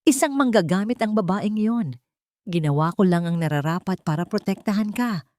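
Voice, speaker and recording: A woman's voice: medium pitch, expressive, normal speed, a little mellow, dry recording, studio quality, voice pushed up, lightly acted. A woman voicing a proud, controlling wealthy mother who protests defensively in an audio love story. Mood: pleading, defensive. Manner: imperious, protesting.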